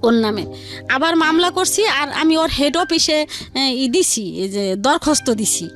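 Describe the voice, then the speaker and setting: A woman's voice: high-pitched, expressive, a little slow, fairly bright tone. A woman with a colloquial Bangladeshi Bengali accent telling her personal story as a radio interview guest, in a rambling, repetitive way. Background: music.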